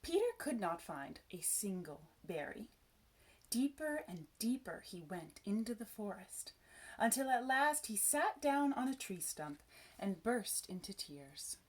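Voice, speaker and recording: A woman reading a children's story aloud, with expressive, measured narration and dramatic phrasing. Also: medium pitch. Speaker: storybook reader.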